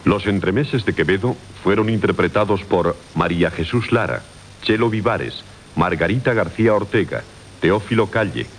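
A male radio announcer with a fairly low voice. He reads credits in a formal, scripted style. The 1980 broadcast recording carries a faint hiss. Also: animated, moderate pace.

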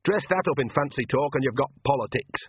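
A man's voice: medium pitch, lively intonation, brisk, breathy edge, no room sound, narrow, tinny audio, pitched above the performer's own voice. A man voicing a bossy, shrewd older woman witch who talks in a blunt, colloquial, cajoling way.

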